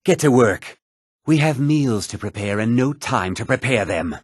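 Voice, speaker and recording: An actor playing a haughty, put-upon fantasy townsman in a video game, husky, theatrical and often sarcastic. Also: mid-range voice, animated, normal speed, no echo, clean studio sound. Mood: scornful, irritable. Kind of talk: video game character dialogue.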